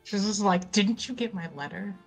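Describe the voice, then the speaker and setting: A woman playing in a tabletop roleplaying game, improvising in character with asides, in candid, halting phrases. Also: medium pitch, lively intonation, rough recording. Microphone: close microphone on online stream. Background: music.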